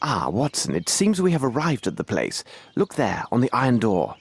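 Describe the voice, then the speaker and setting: An actor playing a composed gentleman detective in a video game, speaking formally and precisely with courteous authority. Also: mid-range voice, huge pitch swings, normal speed, gravelly, husky, exaggerated voice. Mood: brisk, pensive. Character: composed, commanding, clever English gentleman detective, adult male. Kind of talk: video game cutscene acting.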